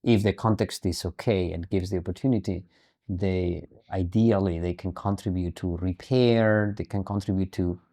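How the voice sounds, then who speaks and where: A middle-aged man speaking as a guest in an interview, measured and deliberate, often repeating words as he works through a thought. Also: low-pitched, fairly even intonation, warm tone. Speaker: podcast guest, human rights activist. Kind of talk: interview conversation.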